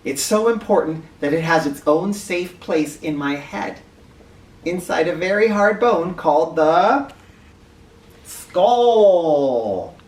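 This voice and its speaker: A man hosting an educational read-aloud for children, speaking directly to them with clear emphasis and questions. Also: fairly high pitch, expressive, slow, darkish tone. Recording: roomy, distant mic with faint noise.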